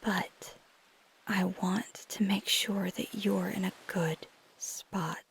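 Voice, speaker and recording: A woman playing a caring, flirty female vampire lover in an intimate ASMR roleplay, speaking tenderly and reassuringly in a breathy, husky half-whisper. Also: medium pitch, steady tone, takes it slowly, fairly bright tone, slight background noise, rough recording. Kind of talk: ASMR roleplay, intimate one-sided dialogue.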